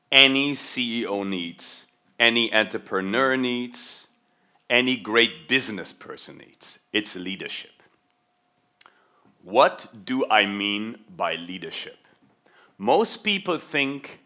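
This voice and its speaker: Middle-aged German-born male keynote speaker; low, bright, driving delivery, emphatic repeats. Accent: faint American-schooled German. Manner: emphatic, stretches key words, repeats for stress. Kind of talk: motivational keynote talk.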